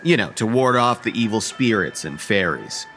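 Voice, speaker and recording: A man's voice: fairly low pitch, sing-song, normal speed, a bit bright, soft background music. A man playing an organized, matter-of-fact young college student in an audio horror drama. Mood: businesslike.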